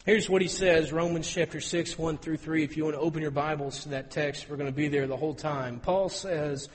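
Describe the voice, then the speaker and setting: A man in his thirties preaching a sermon in an auditorium, telling stories with lively, rising emphasis. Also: on the high side, fairly quick, long reverb tail, rough recording. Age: 30s. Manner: storytelling, animated with rising emphasis.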